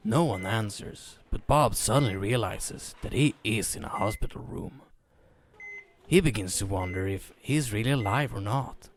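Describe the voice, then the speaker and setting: A man narrating a story as a dramatic reading, theatrical in manner with deliberate pauses. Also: lowish voice, a little hiss or hum.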